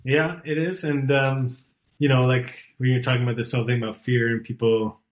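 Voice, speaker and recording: A man's voice: mid-range voice, flat delivery, a little fast. A man in his thirties, a podcast guest talking in a loose, rambling way with many fillers and restarts. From born and raised outside Iran. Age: 30s. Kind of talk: casual conversational interview.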